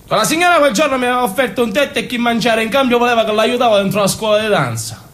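A man's voice: very high-pitched, fast, a bit bright. A man acting a naive, passionate young Sicilian man in an intense, confessional stage monologue with a Sicilian accent. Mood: wistful recollection. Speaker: stage actor. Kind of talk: theatrical monologue in dialect.

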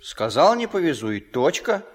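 Irritable, bossy young fellow in radio play, curt delivery with big pitch swings, somewhat high voice. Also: male voice, big pitch swings, normal speed. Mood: irritated, dismissive. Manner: brusque, dismissive. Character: gruff, curt, irritable young man bossing children.

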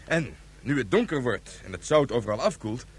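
Smug male colonel, played by an actor, taunting, animated mid-pitched voice, radio drama. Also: normal speed, slight background noise, close to an everyday voice. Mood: confident, mocking.

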